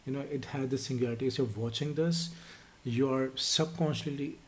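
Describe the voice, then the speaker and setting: A native Urdu-speaking man co-hosting a song reaction, talking in a reflective, halting way and often repeating words. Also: mid-range voice, steady tone, slight background noise. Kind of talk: casual reaction chat.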